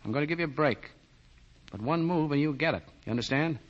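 An actor playing a tough but fair police detective in clipped, slangy 1940s radio style. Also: male voice, a little high, fast talker, natural voice. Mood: stern, wary. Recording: muffled 1940 radio broadcast recording.

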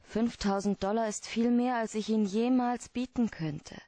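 An actress in a German radio play as a secretive, seductive femme fatale client, guarded and formally beseeching. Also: female voice, fairly high pitch, fairly even intonation, normal speed, warm tone, dry recording, natural voice. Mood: pleading, seductive.

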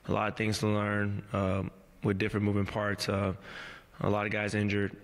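A man in his thirties gives postgame answers in a low, even voice. He speaks slowly and in a measured way, pausing and restarting often. The table mic carries a long reverb from the press room. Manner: measured, halting, many fillers and restarts. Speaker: pro basketball player at postgame press conference. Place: press room before a team backdrop. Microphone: table mic on a stand.

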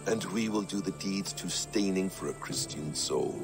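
An actor playing a cool, sly and menacing assassin in a video game, speaking in a deliberate, guarded, smooth way. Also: male voice, sits low, music in the background. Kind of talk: video game cutscene acting.

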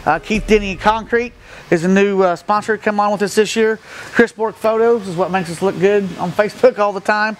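A man's voice: high-pitched, fairly even intonation, brisk, a little hiss or hum. A middle-aged man from the Midwest, a stock car racer, explaining his car in a plain-spoken, matter-of-fact way with many fillers, recorded in an open metal shed by a camera a few feet away. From Midwestern United States. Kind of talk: casual walkaround explanation. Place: open metal shed with gravel floor, outdoors nearby.

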